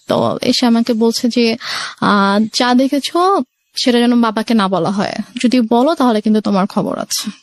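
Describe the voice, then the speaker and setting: A woman in her twenties with a Bangladeshi accent, telling her life story in a radio interview and mixing in occasional English words. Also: a little high. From Sirajganj, Bangladesh. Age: 20s.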